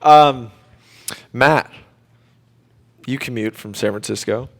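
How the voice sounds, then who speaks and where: A young man with an average-pitched voice hosts a student radio show from a studio. He chats casually and informally, joking and teasing his fellow students as he goes. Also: slow, gravelly. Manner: jokey, teasing.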